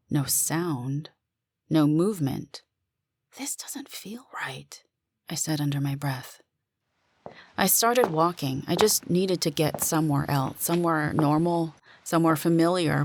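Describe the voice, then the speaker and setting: A woman giving a dramatic first-person reading of a suspense story, with deliberate pauses. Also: low-pitched, no echo. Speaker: narrator and performer of a story.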